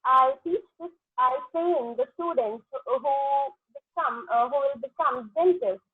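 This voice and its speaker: A woman's voice: high-pitched, a little slow, breathy edge, no echo. Hesitant Indian woman over a phone. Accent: Indian English. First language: Punjabi. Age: 30s. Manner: hesitant, many fillers and restarts. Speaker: exam candidate, dentist. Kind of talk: mock exam answers. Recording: tinny phone-like line.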